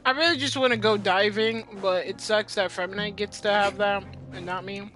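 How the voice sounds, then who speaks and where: A woman's voice: medium pitch, steady tone, moderate pace, a bit bright, faint music behind. A teenage girl casually commenting over her gameplay in a chatty, rambling, slangy way. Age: teens.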